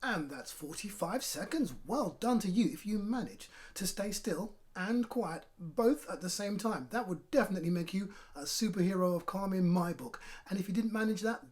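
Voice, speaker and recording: Animated male children's storyteller, encouraging. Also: high-pitched, moderate pace, hardly any pauses. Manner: encouraging, addresses young listeners directly. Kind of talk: livestreamed storytime talk and lively story narration.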